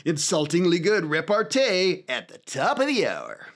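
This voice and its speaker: Guy doing cheesy radio station announcer, highish punchy voice, tongue in cheek, up and down all over. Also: huge pitch swings, a little slow, a bit bright, exaggerated voice. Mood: cheeky, upbeat. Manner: exaggerated, tongue-in-cheek DJ patter. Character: zany, wisecracking local radio station announcer.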